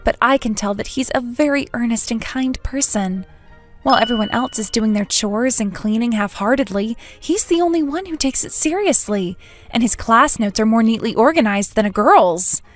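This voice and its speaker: Woman voicing a high-voiced, lovesick teenage schoolgirl. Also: expressive, moderate pace, faint music behind, lightly acted. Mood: fond narration. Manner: emotive, self-doubting, hesitant. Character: sociable teenage schoolgirl, nervous and lovesick, earnest. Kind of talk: dramatic manga dub narration and dialogue.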